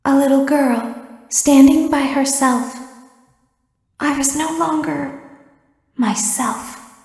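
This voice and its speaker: Eerie, high-pitched robot girl, echoing. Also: darkish tone. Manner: slow, measured, detached storytelling with deliberate pauses. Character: eerie childlike animatronic girl robot, wistful and sinister. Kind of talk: video game character monologue. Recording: echoey hall with long reverb.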